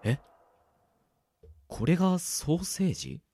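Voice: medium pitch, sing-song, slow pace, warm tone, slightly rough, full character voice. A performer playing an exasperated, wisecracking boy in an amateur comedy radio drama, with dry retorts, muttered asides and startled outbursts. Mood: wry, baffled. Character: exasperated, wisecracking boy trapped in a swapped body.

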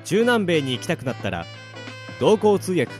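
A man's voice: a little high, sing-song, normal speed, a little mellow, faint music behind. A man reading scripted sponsor announcements as an announcer.

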